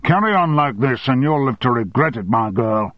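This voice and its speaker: A man playing a blustering, domineering, short-tempered male boss who shouts threats, on a dry, slightly dull recording. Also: mid-range voice, lively intonation, normal speed, no echo, pitched above the performer's own voice, close to an everyday voice. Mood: angry.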